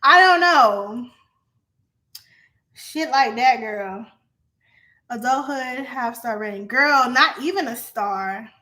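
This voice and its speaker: A young adult woman vlogging in a casual, profane monologue to her viewers, animated and often laughing. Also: a little high, slow, long pauses.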